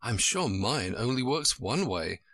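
A man's voice: medium pitch, big pitch swings, measured pace, fairly bright tone, no echo, exaggerated voice. A man voicing a polite, earnest young storybook girl who questions things in a puzzled, proper way. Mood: astonished, curious.

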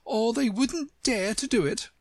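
Woman doing a bossy storybook flower, high voice, haughty n scolding, swoops around. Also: lively intonation, a little slow, no echo, clean studio sound. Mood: furious. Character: imperious hot-tempered talking Tiger Lily flower. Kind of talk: storybook character voice.

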